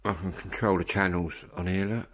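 A man in his fifties with an English accent, chatting casually and haltingly in an informal, rambling vlog. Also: low-pitched, fairly even intonation, background noise. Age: 50s. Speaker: CB radio hobbyist vlogger. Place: room at home. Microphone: phone at arm's length.

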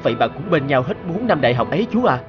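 A man's voice: fairly high pitch, big pitch swings, racing, highs cut off. A man voicing a young man in a cartoon, heartfelt and wounded as he talks about his past. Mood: wistful. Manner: heartfelt, emotional. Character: young man, wounded, brooding successful ex-boyfriend. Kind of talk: cartoon voice acting. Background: background music.

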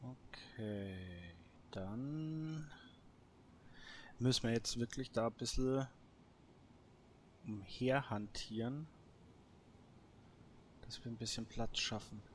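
A man's voice: mid-range voice, takes it slowly, pauses often, a little hiss or hum. A man giving casual solo let's play commentary, thinking aloud to himself. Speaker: gaming video host.

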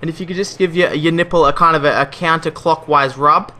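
A man's voice: on the high side, steady tone, a little fast, sharp tone, slight background noise. A man making a prank phone call, rattling off breezy, mock-official patter. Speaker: prank caller.

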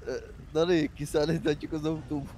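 A man's voice: fairly high pitch, level tone, warm tone, slight background noise. A man chatting casually in slang, coaxing and bargaining as a player in a game. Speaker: player in a role-play game session.

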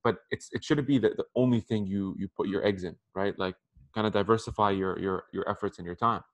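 A man in his thirties talks quickly over a video call from a room at home. His voice sits a little low. He speaks conversationally and repeats words as he goes. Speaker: interview guest. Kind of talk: casual advice on a video call. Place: apartment room by a window. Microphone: headphones on a video call.

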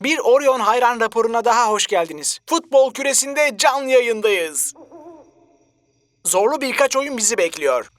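An actor voicing an excitable, starry-eyed fan in a cartoon dub. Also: mid-range voice, normal speed, no room sound, lightly acted. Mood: upbeat. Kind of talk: dubbed cartoon acting.

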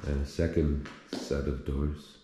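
A man's voice: rumbling low, little pitch movement, unhurried. A man touring an abandoned house and thinking aloud as he walks through with a handheld camera. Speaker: urban explorer vlogger. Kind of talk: casual walkthrough narration. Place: empty abandoned house indoors. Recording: echoey empty rooms, faint hiss.

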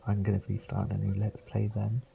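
A young man, slightly croaky, rambling casually as he comments on his gameplay. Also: on the low side, monotone, fairly quick, mellow, lo-fi sound, slight background noise. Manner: rambling, chatty, frequent fillers. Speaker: let's play host.

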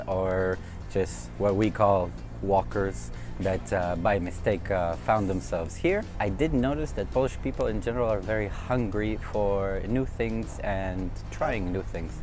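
Thirtyish man from Israel, low easy voice, chatty storyteller, street noise behind. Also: unhurried, music in the background. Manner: fluent, relaxed storytelling with frequent uh fillers. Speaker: cafe owner. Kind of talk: casual interview to camera. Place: city street outdoors.

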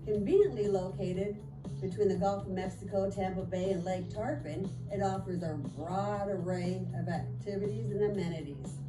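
A woman's voice: mid-range voice, moderate pace. A middle-aged woman, a real estate agent, reading an upbeat promotional voice-over, with a few small slips. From Florida. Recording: echoey voice-over with clear music. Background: background music.

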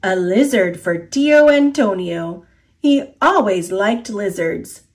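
A woman's voice: medium pitch, animated, takes it slowly. A woman with an American accent voicing a matter-of-fact, teasing young brother in a storybook, knowing and plain.

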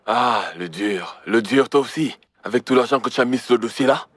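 A man's voice: medium pitch, a little fast, a bit bright, no room sound, natural voice. An actor dubbing a joking male friend who teases and needles. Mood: teasing. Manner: teasing, laughs. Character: teasing male friend, jokey.

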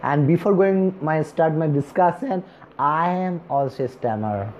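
A man in his thirties, a native Bengali speaker, speaking slowly and earnestly to camera as a speech coach, with long pauses between words. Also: on the high side. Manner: earnest and encouraging, gesturing with both hands. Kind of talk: self-help talk to camera. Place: small indoor room, seated on a chair. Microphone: lapel mic. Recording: slightly dull recording with faint hiss.

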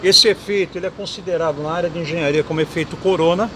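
A man's voice: on the high side, steady tone, quick pace. A man in his sixties explaining equipment technically outdoors, often tagging sentences with a checking question. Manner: explanatory, adds "tá?" tags. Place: outdoor parking lot. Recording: clear street noise behind.

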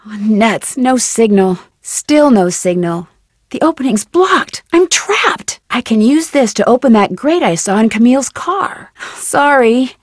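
A young woman with an American accent playing a curious, upbeat teenage girl detective in an adventure game, speaking in a clear, inquisitive way. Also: mid-range voice, darkish tone, no room sound, studio quality. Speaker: video game voice actor. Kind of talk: scripted adventure game lines, thinking aloud.

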